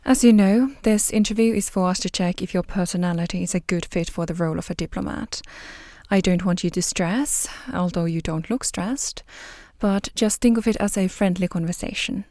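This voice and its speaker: Woman acting as mellow, composed formal interviewer. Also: on the low side, fairly even intonation, moderate pace, dry recording, clean studio sound, close to an everyday voice. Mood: reassuring, businesslike. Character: composed, formal female interviewer screening diplomat candidates.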